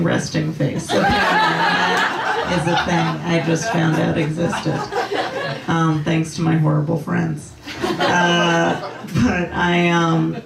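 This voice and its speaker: Deadpan low-voiced young woman comic. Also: gravelly, background noise. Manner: slow, deadpan, hesitant delivery with many ums. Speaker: stand-up comedian. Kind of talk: deadpan stand-up set. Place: comedy club stage with laughing audience. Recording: roomy club sound from a distance.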